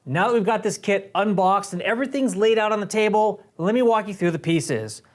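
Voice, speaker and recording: A man's voice: high-pitched, a little fast. An American man in his thirties presenting a product overview to camera in a casual, explanatory way. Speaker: product reviewer and presenter for a motorcycle gear shop. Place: indoor video studio set.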